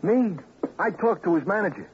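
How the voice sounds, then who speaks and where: A washed-up prizefighter, speaks in a raised, nervous voice, hesitating and repeating himself defensively. The vintage radio drama sound is muffled with faint noise. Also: male voice, sits high, expressive, normal speed. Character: worn-out, nervous male ex-champion boxer. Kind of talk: old-time radio crime drama acting.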